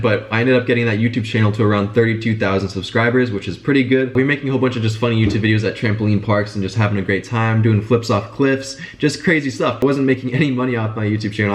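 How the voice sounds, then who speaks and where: A man's voice: on the low side, slight background noise. A young man telling his story in a casual vlog while congested and sick with a stuffy nose. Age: young adult. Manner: fast, chatty, informal. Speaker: business vlogger.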